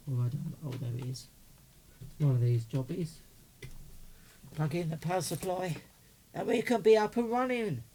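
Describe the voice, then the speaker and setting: A man's voice: fairly high pitch, a little slow, long pauses, dark tone, rough recording. A middle-aged man chatting casually and muttering to himself as he does a hands-on unboxing. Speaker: hobbyist presenter unboxing a CB radio. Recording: clear background noise.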